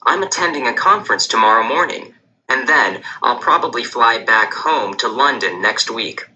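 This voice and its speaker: A lively, bright-voiced businessman. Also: mid-range voice, normal speed, muffled recording. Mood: friendly. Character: male businessman traveler, friendly and confident. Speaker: textbook dialogue speaker. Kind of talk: scripted textbook dialogue.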